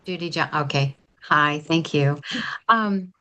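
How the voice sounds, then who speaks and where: A woman's voice: low-pitched, slow pace, sharp tone, muffled recording. A woman in her seventies sharing an enthusiastic, chatty testimonial on a video call, often restarting her sentences. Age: 70s.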